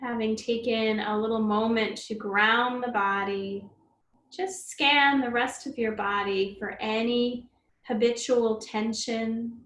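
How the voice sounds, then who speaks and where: A woman leading a guided meditation as a teacher, speaking gently and soothingly, unhurried, with long pauses. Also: on the high side, steady tone. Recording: roomy echo, faint background noise.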